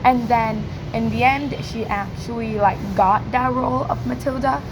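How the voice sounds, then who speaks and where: Croaky, high-voiced young-sounding female presenter. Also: fairly even intonation, moderate pace, noisy background. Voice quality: croaky, cracking. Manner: hesitant, many filler likes. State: hoarse from yelling. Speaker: student presenter practising English speaking. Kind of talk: informal spoken question-and-answer presentation.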